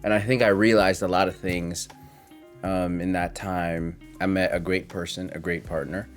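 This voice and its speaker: A low-pitched male voice in his twenties speaks in a casual, confessional vlog style. He rambles and hesitates, thinking things over reflectively as he goes. Faint guitar music plays behind him. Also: level tone, normal speed. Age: 20s. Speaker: content creator.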